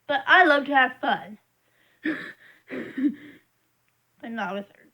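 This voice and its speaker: Young woman, high voice, slow unhurried delivery, frustrated rambling vlogger. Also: animated, highs cut off. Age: young adult. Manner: frustrated, rambling, emphatic complaining. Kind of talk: casual venting monologue.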